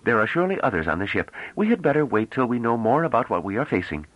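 Man acting mild gallant scholar, raised pitch. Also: big pitch swings, fast talker, no echo, low-quality recording. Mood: urgent, cautious. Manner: hesitant, gentle, courteous.

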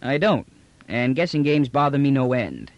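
A man's voice: medium pitch, sing-song, normal speed, no room sound, dull audio, pitched above the performer's own voice. A man voicing a brash, unruffled male space trader with a cheeky, slangy, colloquial manner in an audiobook. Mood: easygoing, frank. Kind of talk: audiobook character dialogue.

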